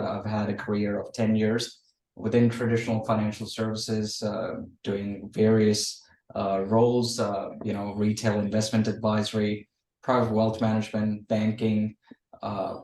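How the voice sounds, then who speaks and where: Man, thirties, Australian accent, lowish voice, flat delivery, measured with fillers, video call, distant roomy sound. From Australia and India. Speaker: guest.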